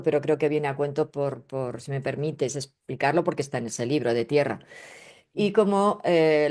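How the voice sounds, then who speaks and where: Low-voiced, emphatic woman from Spain explaining. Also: darkish tone. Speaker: guest, astrologer and spiritual researcher. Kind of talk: conversational interview explanation.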